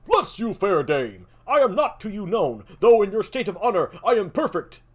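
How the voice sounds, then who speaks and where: A woman voicing an anxious, humble male messenger in a raised, urgent, hurried voice while reading a play aloud. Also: mid-range voice, sing-song, pitched above the performer's own voice.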